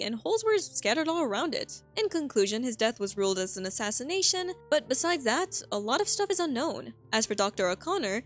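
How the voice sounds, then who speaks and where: A woman with a fairly high, very animated voice narrates as a video host. Her delivery is scripted but conversational, dotted with casual asides and questions to the viewer. Music plays softly behind her. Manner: conversational, interjecting own reactions and rhetorical questions. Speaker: video host analysing a horror web series. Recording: voice-over with background music. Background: background music.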